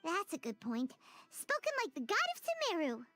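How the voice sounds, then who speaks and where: A woman voicing a small, chirpy, childlike fairy companion in an anime video game, eager and talkative with a squeaky voice. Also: wildly swooping pitch, normal speed, a bit bright, dry recording, exaggerated voice. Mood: curious, agreeable. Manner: exclamatory, colloquial. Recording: game music under the voice.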